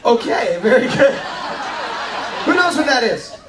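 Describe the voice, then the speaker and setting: A man's voice: very high voice, very animated, measured pace, a loud crowd. A man hosting a live amateur show, informally prompting his audience with a hesitant delivery. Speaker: host introducing an amateur show. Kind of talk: informal stage introduction to audience. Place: live show on cruise ship deck. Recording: echoey, distant mic, faint noise.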